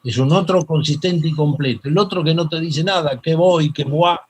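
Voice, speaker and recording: An older Argentine man with a Rioplatense accent, a psychoanalyst telling stories in an interview, halting with many fillers and restarts. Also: fairly high pitch, husky. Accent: Rioplatense Spanish. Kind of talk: reflective interview storytelling.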